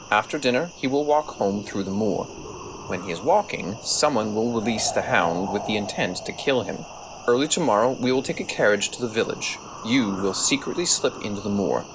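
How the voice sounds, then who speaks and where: An actor playing a confident, analytical detective in an audio drama, speaking in a measured, formal way. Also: male voice, on the low side, noisy background, natural voice.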